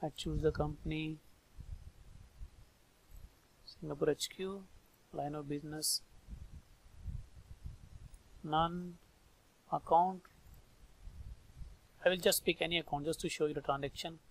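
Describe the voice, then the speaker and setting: A man with an Indian accent narrating a step-by-step software tutorial, thinking aloud informally in short phrases. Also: on the high side, steady tone, slow pace, creaky, long pauses, low-quality recording. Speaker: software trainer. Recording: background noise with mouse clicks. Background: noise and clicking.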